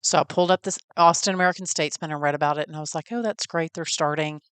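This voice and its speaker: A woman's voice: low voice, steady tone, very fast, clean tone, dry recording. A woman in her forties with a Texan accent, hosting a podcast and telling her own story in a friendly, folksy, heartfelt way. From Texas. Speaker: podcast host. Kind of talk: personal storytelling.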